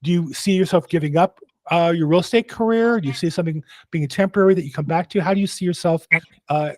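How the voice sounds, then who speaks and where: A man's voice: fairly high pitch, fast, a little mellow, no echo. A man hosting a casual interview, chatty and joking, with lots of fillers. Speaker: host.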